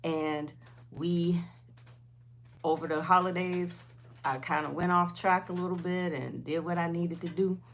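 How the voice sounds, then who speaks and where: A woman's voice: fairly low pitch, fairly even intonation, a little slow, a little hiss or hum. A woman, chatting informally on a livestream and replying to viewers, often repeating herself. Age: 50s. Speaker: host of a weight loss livestream.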